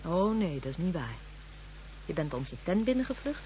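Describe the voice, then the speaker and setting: A woman's voice: lowish voice, very animated, moderate pace, darkish tone, slight background noise. A woman acting a firm, earnest, protective mother figure in a radio play.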